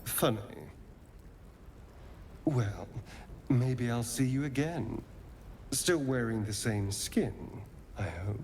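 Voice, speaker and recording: A man's voice: medium pitch, expressive, slow, long pauses, darkish tone, a little hiss or hum. A male actor voicing a flirtatious, sardonic, menacing rogue in a video game, with a theatrical, arch drawl. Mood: wry, aloof. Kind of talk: video game character acting.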